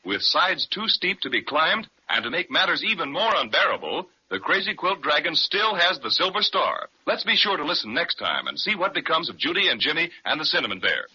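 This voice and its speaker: A man's voice: on the high side, sing-song, brisk, pushed and bright, slight background noise. A man narrating a 1930s children's radio serial in a playful storytelling manner. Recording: dull old 1930s radio recording.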